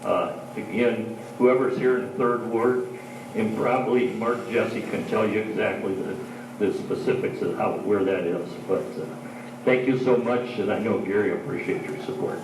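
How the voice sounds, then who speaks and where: A man with a big, folksy voice speaks at a community candidates night on someone else's behalf. He is heard from across a reverberant hall with steady background noise. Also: fairly low pitch, normal speed. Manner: loud, folksy, joking. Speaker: stand-in speaker for a council candidate. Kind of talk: public candidates-night speech. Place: large hall, heard from far off. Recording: echoey hall from far off, noisy.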